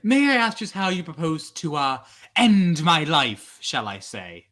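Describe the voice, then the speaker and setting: Man playing a bright-voiced, arch radio-thriller thief. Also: fairly high pitch, big pitch swings, a little slow. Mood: cool defiance. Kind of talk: 1940s radio thriller acting.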